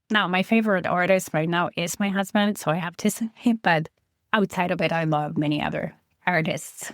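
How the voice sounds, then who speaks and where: A podcast host in her forties, Polish-born with a light Polish accent, talking through a relaxed, chatty solo episode about herself. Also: mid-range voice, no room sound, clean studio sound. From Poland. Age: 40s. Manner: chatty, reflective, counts through a list.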